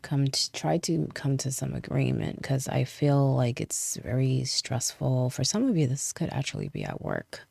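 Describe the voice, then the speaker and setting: A young woman with a very low, gravelly voice and a mellow, dark tone reads tarot in a loose, chatty way. She sounds a little stuffy from a cold. The recording is dry and close. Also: big pitch swings, normal speed, hardly any pauses, no room sound. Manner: chatty, laughs often, repeats "I feel like". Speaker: tarot reader. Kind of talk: casual tarot reading to camera.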